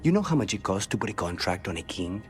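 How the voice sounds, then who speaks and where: An actor playing a dry-witted, brooding assassin in a fantasy game, understated and deadpan. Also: male voice, sits low, quick pace, soft background music. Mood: wry.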